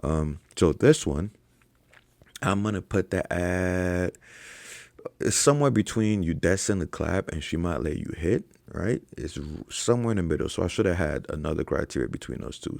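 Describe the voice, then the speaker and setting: A young man with an extremely low voice full of heavy vocal fry ranks things to camera in loose, profane slang. He peppers his talk with fillers and "right?" tags. The sound is dry, with no room echo. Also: darkish tone. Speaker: online fitness coach. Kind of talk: casual ranking commentary to camera.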